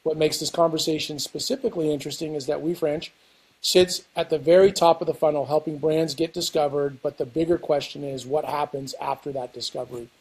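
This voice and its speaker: A man's voice: on the high side, steady tone, brisk, a little mellow, husky, rough recording. A man hosting a podcast, reading a scripted intro and asking questions, repeating words as he asks. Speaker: podcast host.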